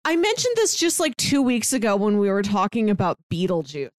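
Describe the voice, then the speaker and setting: A woman's voice: mid-range voice, huge pitch swings, brisk, no echo, studio quality. A woman co-hosting a movie podcast, chatting in a friendly way and saying "like" a lot. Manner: friendly, chatty, uses "like" often. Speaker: podcast co-host. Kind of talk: casual chat.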